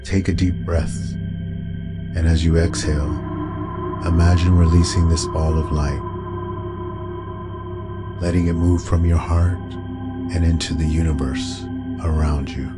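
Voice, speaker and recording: A man narrating a guided meditation in a calm, soothing, unhurried way, as a guide would. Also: low voice. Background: meditation music with singing bowl tones.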